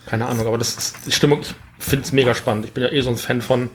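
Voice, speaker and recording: A man's voice: medium pitch, fairly even intonation, fast talker, slight background noise. Male discussion participant, mid-range voice, informal, circling back over words while thinking aloud. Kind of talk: casual chat, giving personal impressions.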